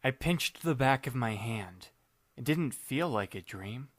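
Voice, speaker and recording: A man's voice: mid-range voice, expressive, measured pace, fairly bright tone, no room sound. A young man reading first-person audiobook narration in a dry, wry, understated manner. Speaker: narrator and lead reader.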